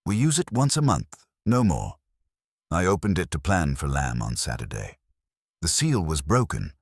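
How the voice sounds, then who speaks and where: A man's voice: extremely low pitch, wildly swooping pitch, normal speed, slightly rough, no echo, clean studio sound, exaggerated voice. A man voicing a prim, indignant household chef in an audiobook, clipped and formal.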